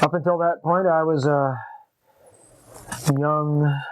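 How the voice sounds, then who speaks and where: A man's voice: medium pitch, dry recording. A man in his late forties with a scratchy voice, unhurriedly telling his life story in an interview. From Massachusetts. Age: 40s. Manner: unhurried, reflective, with pauses. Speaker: interviewee, musician. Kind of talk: relaxed conversational storytelling. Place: upstairs room of an apartment, at a desk.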